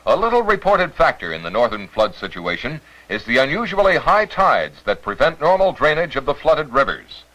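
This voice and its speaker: An American man reading scripted 1960s newsreel narration in a formal, dramatic announcer style. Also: mid-range voice. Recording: noisy old newsreel soundtrack.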